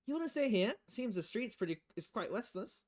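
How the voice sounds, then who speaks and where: A curious, chatty bar boss from a visual novel, acted out by a female streamer. The voice sits high and bounces around in pitch, eager to know everything. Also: lively intonation, brisk, a little mellow. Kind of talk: visual novel dialogue read aloud.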